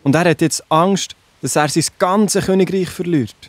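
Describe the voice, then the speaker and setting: A man's voice: fairly high pitch, normal speed, dry recording, lightly acted. A man with a Swiss German accent playing a worried, loyal young prince in a children's Bible audio drama, speaking earnestly. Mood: worried, urgent.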